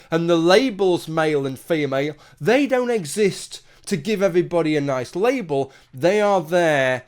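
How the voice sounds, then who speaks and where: Male commentator, lightly raised pitch, talks through an argument with asides to the viewer. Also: darkish tone. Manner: argumentative, conversational, rhetorical asides. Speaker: commentary video host. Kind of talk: solo opinion monologue.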